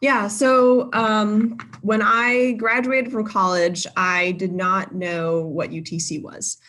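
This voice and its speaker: A woman's voice: mid-range voice, little pitch movement, takes it slowly, a bit bright. A young woman in her twenties, a guest speaker giving a casual online talk about her career, with many ums and restarts. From Boston, Massachusetts. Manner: friendly, many filler ums and uhs, self-correcting. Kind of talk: casual presentation to a live virtual audience. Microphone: video call.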